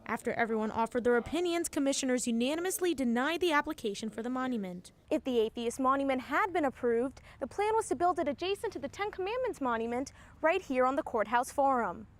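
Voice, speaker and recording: High-pitched young woman reporting news. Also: expressive, fast, a little hiss or hum. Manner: formal broadcast delivery.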